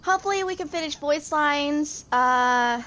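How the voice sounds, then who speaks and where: A woman's voice: high-pitched, measured pace, sharp tone. A woman in her twenties giving casual, rambling gameplay commentary, hesitant and thinking aloud as she goes. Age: 20s. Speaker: gaming video creator.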